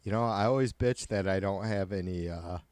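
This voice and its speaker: Low-voiced raspy, nasal man; swears bluntly and rambles casually, dry close sound. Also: a little fast, darkish tone, no echo. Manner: profane, blunt, joking. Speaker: livestreamer. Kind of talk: casual rambling livestream chat.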